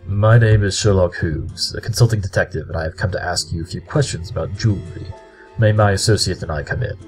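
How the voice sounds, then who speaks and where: An actor playing a brilliant, formal pony detective who speaks precisely and matter-of-factly in an audio drama. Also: male voice, low voice, a little fast, darkish tone, soft background music, natural voice. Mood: formal, confronting.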